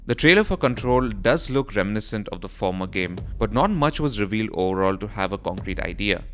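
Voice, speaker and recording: A man's voice: fairly low pitch, lively intonation, a little fast. A man reading a scripted voice-over for a gaming news roundup, in the role of presenter. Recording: music behind the voice. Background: background music.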